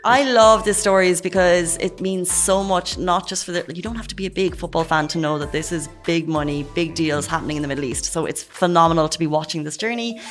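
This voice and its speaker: An Irish woman presents a talk show at a brisk clip. Her delivery is upbeat and conversational, like a host chatting through the day's stories. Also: lowish voice, soft background music. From Ireland. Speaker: podcast and radio show host.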